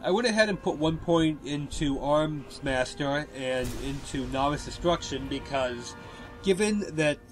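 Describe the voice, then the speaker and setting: A man with a stuffy cold, giving relaxed, explanatory gameplay commentary over a walkthrough. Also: fairly high pitch, a little slow, faint music behind, poor audio.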